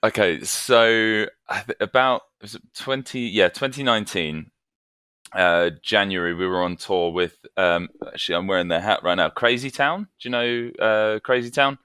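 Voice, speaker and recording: Guest: man, low-ish voice, bright and forceful, very dry sound, chatty and self-mocking storyteller. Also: normal speed, clean tone, no room sound. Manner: chatty, self-deprecating, laughs often. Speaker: guest vocalist. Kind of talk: casual interview storytelling. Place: home, evening.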